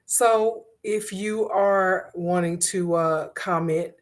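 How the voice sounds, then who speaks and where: A woman hosting an online commentary stream, hoarse from a cold, talking in a conversational way with frequent pauses and fillers. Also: on the low side. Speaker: host of an online commentary show. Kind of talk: casual commentary to viewers.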